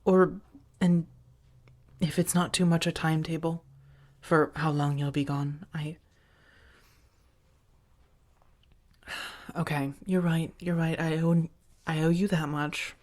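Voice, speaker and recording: A woman playing a protective, affectionate female vampire roommate in a soft-spoken ASMR roleplay, breathy and often near a whisper, with halting, earnest delivery. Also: low voice, steady tone. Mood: tender, pleading.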